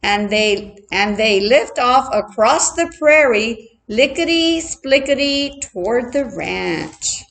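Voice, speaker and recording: A woman reading a children's story aloud expressively, like a teacher, deliberate and adding playful asides for her listeners. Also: fairly high pitch, expressive, creaky, a bit bright.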